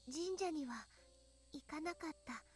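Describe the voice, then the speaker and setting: An actress voicing a kind teenage girl in a game, explaining in a soft tone. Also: high-pitched, animated, fairly quick, faint music behind. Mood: gentle.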